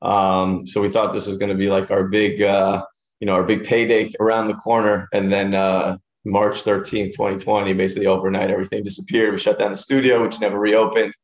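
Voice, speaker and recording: A man's voice: on the low side, little pitch movement, a little fast. A man in his thirties, originally from Israel, talking casually as an interview guest with many fillers and restarts. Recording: roomy echoey room.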